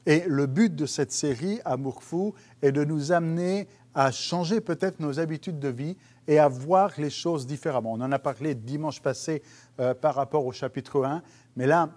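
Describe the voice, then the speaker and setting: A man's voice: fairly high pitch, a little mellow. A man, a pastor giving an earnest video teaching for home groups, often restarting his sentences, recorded on a lapel mic on a small studio stage. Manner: earnest, hesitant with many restarts and fillers. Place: studio stage with dark curtain.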